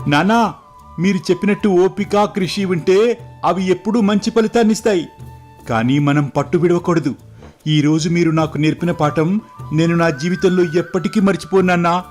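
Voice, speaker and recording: A man voicing an eager, impatient young prince, earnest and excitable, in a storybook tale. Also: sits high, normal speed, soft background music, pitched above the performer's own voice, natural voice. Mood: excited, grateful. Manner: earnest, excitable, respectful to father. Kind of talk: scripted moral story dialogue.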